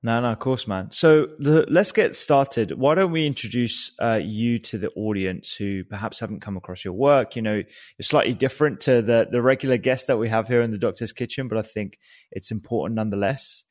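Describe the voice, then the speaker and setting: A man's voice: medium pitch, brisk. A man hosting a podcast interview in a living room, chatty and laughing often, with frequent restarts. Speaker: podcast host. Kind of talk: casual interview chat.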